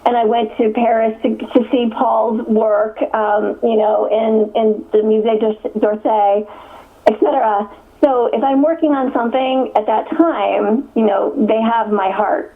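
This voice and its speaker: A woman from Connecticut, an author, answering interview questions in a chatty way, full of fillers and restarts and frequent laughs. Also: on the high side. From Connecticut, USA. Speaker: author guest. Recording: tinny phone line.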